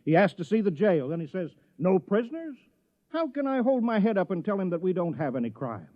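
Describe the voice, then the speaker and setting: Flustered fussy small town mayor, bouncy intonation, muffled old radio play recording. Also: male voice, high voice, sing-song, brisk. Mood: earnest, pleading. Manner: flustered, pleading, earnest, folksy. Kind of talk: 1950s radio drama acting.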